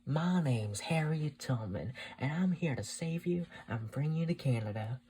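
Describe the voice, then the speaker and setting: A narrator voicing a bold, assured female rescuer with calm urgency in a dramatic story. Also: mid-range voice, a little fast, close to an everyday voice. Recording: clearly audible noise and hum.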